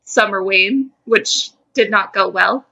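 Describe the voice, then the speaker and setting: Female podcast co-host, bright voice, middle pitch, casual storyteller reading fan fiction. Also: slow, vocal fry, rough recording.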